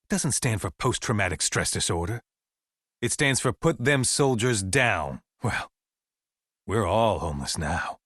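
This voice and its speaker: A middle-aged American survivalist broadcaster for a video game. His low voice is dry and close, with no room around it. He preaches in a folksy, deliberate way, as a distrustful militia leader addressing his people by radio. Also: a bit bright, dry recording. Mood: defiant, preachy. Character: paranoid male survivalist militia leader broadcasting anti-government radio sermons. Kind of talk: dramatic radio monologue.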